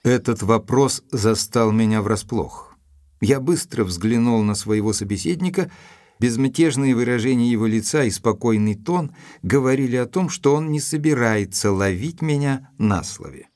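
An actor playing an earnest, guarded gentleman doctor in an audio drama, narrating in a measured, literary and formally courteous way. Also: mid-range voice, expressive, dry recording, clean studio sound, close to an everyday voice. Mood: pensive narration.

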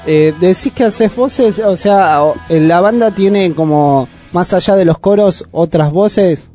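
A man's voice: high-pitched, takes it slowly, warm tone, faint music behind. A man hosting a casual radio interview, often rephrasing his questions as he goes. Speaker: radio host. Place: radio studio.

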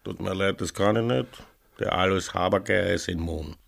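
A man's voice: deep voice, normal speed, gravelly, a bit bright, full character voice. An actor playing an evasive, blustering small-town policeman with an Austrian accent in a radio drama. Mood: evasive, smug. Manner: stalling, dismissive, blustering.

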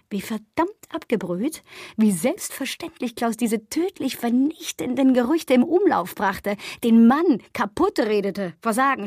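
A woman acting in a radio drama monologue as a bitter, betrayed agency employee, narrating her thoughts with measured irony. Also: mid-range voice, a little mellow, breathy edge, dry recording, clean studio sound. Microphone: close, dry studio microphone.

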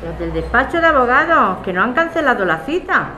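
A woman's voice: a little high, very animated, brisk, sharp tone. A woman with an accent from Spain playing a chatty, motherly café woman in a naturalistic audio drama. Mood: suspicious, chatty. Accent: Spanish, from Spain. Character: suspicious, easily alarmed neighbourhood café woman, chatty, motherly. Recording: clearly noisy background ambience.

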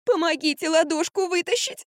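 Woman playing snappy, high-voiced bossy young actress. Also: very animated, moderate pace, no echo, studio quality. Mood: whining. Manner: snappish, whining. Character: bossy, spiteful young actress, squealing and complaining.

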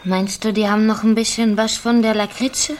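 A woman's voice: mid-range voice, level tone, normal speed, a little hiss or hum, lightly acted. An actress playing a frail young backwoods mother, timid and wistful, speaking plain colloquial lines in a radio play. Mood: subdued, wistful. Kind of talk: literary radio play acting.

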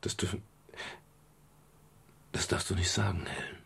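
An actor playing a wry, world-weary private detective with a measured way of speaking, in a 1970s German radio crime drama. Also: male voice, low voice, level tone, creaky, fairly bright tone, slight background noise, full character voice. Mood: tender.

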